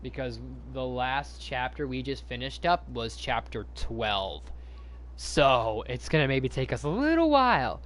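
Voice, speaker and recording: A man's voice: mid-range voice, sing-song, a little slow, a bit bright, slight background noise. A man hosting a casual live stream, chatting to viewers in a rambling way, repeating himself and restarting his sentences.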